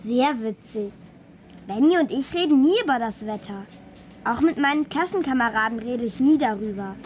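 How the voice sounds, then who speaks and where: A woman's voice: fairly high pitch, expressive, moderate pace, a little hiss or hum, natural voice. A female performer playing a cheeky, precocious eight-year-old daughter in a children's radio play, pert and teasing. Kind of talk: radio drama dialogue. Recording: studio radio play with music underneath.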